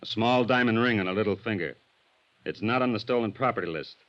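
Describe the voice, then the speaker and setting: An American man acting a tough, suspicious police sergeant in 1950s radio style, gruff, sardonic and pressing. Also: lowish voice, fairly quick, fairly bright tone, lightly acted. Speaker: supporting actor in a radio serial. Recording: dull old radio recording.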